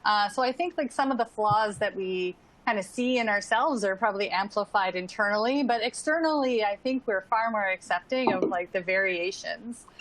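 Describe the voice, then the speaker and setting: A woman's voice: medium pitch, moderate pace, few pauses, a bit bright, poor audio. A woman speaking as a panelist in an online discussion, casual and sprinkled with "like" and "um". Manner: casual, frequent "like" and "um".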